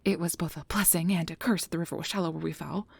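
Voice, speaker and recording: Woman playing a nervous young female researcher, quick rambling speech, slightly breathy and husky, caring, flustered, apologetic, ASMR fantasy roleplay. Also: lowish voice, steady tone, darkish tone, dry recording. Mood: explaining, flustered. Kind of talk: ASMR roleplay audio drama.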